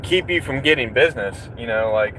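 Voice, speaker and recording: A man's voice: medium pitch, animated, a little fast, fairly bright tone. A man from Florida, casually chatting with his viewers while driving, answering their questions in a rambling, easygoing way. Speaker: truck driver live-streaming to viewers. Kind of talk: casual live-stream chat while driving. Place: inside a vehicle on the road. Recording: noisy road sound from a vehicle.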